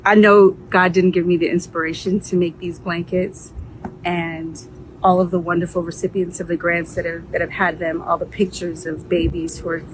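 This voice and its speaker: A woman's voice: on the low side, level tone, moderate pace, noisy background. Earnest woman business founder. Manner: earnest, encouraging, faith-filled. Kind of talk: heartfelt direct-to-camera message.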